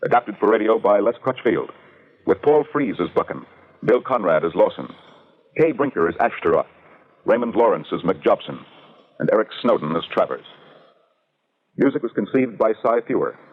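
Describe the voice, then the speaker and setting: A man's voice: lowish voice, lively intonation, moderate pace, long pauses, husky. A man announcing credits in the formal style of 1940s radio. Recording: tinny, narrow old radio recording.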